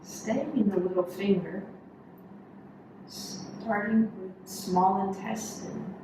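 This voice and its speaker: Slow-paced woman guiding meditation in echoey room. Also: mid-range voice. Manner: calm, instructional, short measured phrases with pauses. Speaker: yoga instructor. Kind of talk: guided body scan meditation. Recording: echoey room, distant mic, noisy background.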